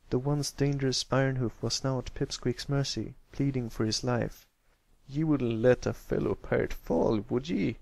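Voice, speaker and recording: A man's voice: mid-range voice, darkish tone. A man reading a story aloud as an amateur narrator of fan fiction.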